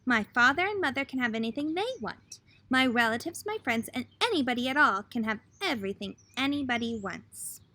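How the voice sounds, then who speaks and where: A woman's voice: fairly high pitch, expressive, a little fast, few pauses, bright, forceful tone, no echo. A woman voicing an earnest, wishful young male donkey from a children's storybook. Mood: delighted.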